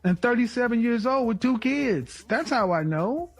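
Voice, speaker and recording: A man's voice: very high voice, expressive, normal speed, faint music behind. A man in a casual argument, talking in a blunt, dismissive way.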